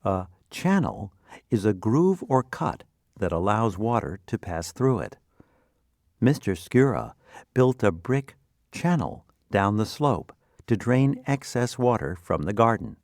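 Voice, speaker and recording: Male narrator for educational material: low-pitched, deliberate, instructional, with lively intonation. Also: no room sound, studio quality. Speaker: vocabulary lesson narrator.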